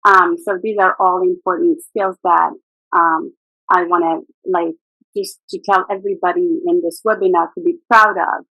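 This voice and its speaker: A woman's voice: lowish voice, fairly even intonation, normal speed, no echo, lo-fi sound. A middle-aged woman from Morocco, a native Arabic speaker, speaking earnestly as a guest teacher on a video call webinar, with frequent fillers and restarts. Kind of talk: webinar interview.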